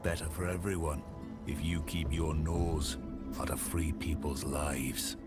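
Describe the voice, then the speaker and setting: A man playing a gruff, defiant working townsman in a video game, curt and guarded with strangers. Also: extremely low pitch, little pitch movement, unhurried. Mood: hostile, defiant. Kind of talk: video game dialogue acting. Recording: loud game music behind.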